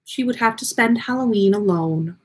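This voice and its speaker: A woman's voice: mid-range voice, moderate pace, slightly rough, close to an everyday voice. Woman acting out a dreamy, flustered fortune-teller woman. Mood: despairing.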